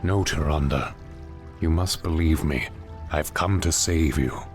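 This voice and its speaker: A man's voice: a bass voice, slow, over music. A man voicing a proud, brooding outcast warrior in a solemn, dramatic way for a video game. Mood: resolute. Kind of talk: video game cutscene voice acting.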